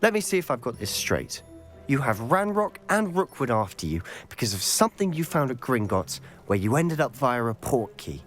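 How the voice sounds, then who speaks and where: An intense British schoolboy wizard in a cinematic game scene. His delivery is animated and earnest, with a wry edge. Soft music sits behind him. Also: medium pitch, normal speed. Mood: puzzled, wry. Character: earnest, stubborn teenage schoolboy wizard, protective brother. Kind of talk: video game cutscene acting.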